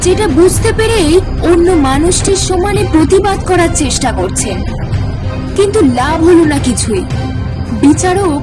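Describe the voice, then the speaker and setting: A woman narrating a horror story in a hushed, suspenseful way. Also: very high-pitched, expressive, moderate pace, distant mic, music in the background.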